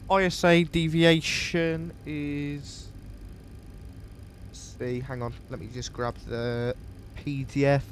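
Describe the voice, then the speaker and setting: A man with a sniffly voice from a coming cold, calmly thinking aloud step by step as he streams a flight simulator session. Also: a little high, slow, slight background noise. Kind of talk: casual live walkthrough of a flight simulator.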